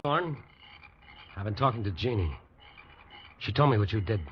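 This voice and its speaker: An actor playing a wronged, angry husband from a logging camp, tense and accusing, in a 1940s radio drama. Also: male voice, on the low side, lively intonation, normal speed, natural voice. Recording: dull, noisy old 1940s radio recording.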